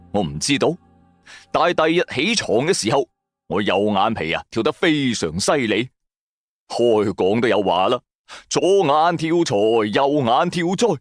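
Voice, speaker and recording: A male storyteller with a Cantonese accent performs a first-person audio drama. His pitch moves around animatedly as he tells it in colloquial, dramatic fashion. Soft music plays underneath. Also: no room sound, studio quality. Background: background music.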